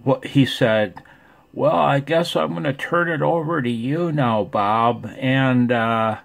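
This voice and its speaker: A man talking casually and off the cuff as a ham radio vlogger, folksy and rambling, with a gravelly voice. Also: medium pitch, takes it slowly.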